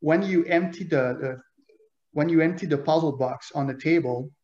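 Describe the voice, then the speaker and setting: A man's voice: fairly high pitch, moderate pace. A man whose first language is French, an author telling stories in a casual interview, full of analogies and fillers. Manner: animated storyteller, uses analogies, frequent fillers. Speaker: author and guest. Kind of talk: conversational interview. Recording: echoey, dull lo-fi audio.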